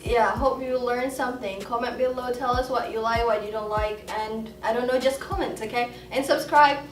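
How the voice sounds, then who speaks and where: A woman's voice: a little high, steady tone, normal speed. A woman hosting a casual interview chat, encouraging and effusive with her guests. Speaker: video host and interviewer. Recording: roomy, distant mic, music behind. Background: music.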